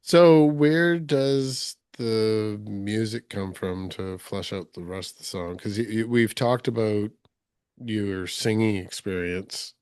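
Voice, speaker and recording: A man's voice: lowish voice, slow pace, a little mellow, clean tone, dry recording, studio quality. A man hosting an interview over a call, asking loose, rambling questions in a conversational way. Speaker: podcast host. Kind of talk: casual interview. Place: remote call.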